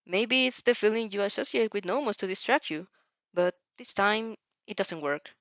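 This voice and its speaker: A woman's voice: medium pitch, lively intonation, normal speed, dry recording. A woman reads fan fiction expressively and drifts into chatty asides. Her voice is recorded close and dry, with a slightly muffled lo-fi sound. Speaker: fan fiction reader and reactor. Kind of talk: expressive story reading with casual asides.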